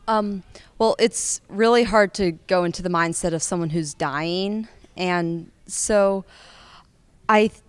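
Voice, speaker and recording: A teenage female student actor with an American accent, answering interview questions conversationally in a clear voice. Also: on the low side, takes it slowly. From United States. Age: teens.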